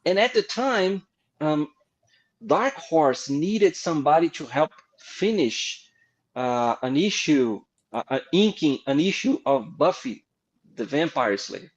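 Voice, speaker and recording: Brazilian-accented male guest, middle-aged, upbeat storyteller, slightly higher register, lo-fi stream sound. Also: takes it slowly. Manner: animated, laughing anecdotes with frequent restarts. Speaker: guest comic artist. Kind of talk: casual storytelling interview.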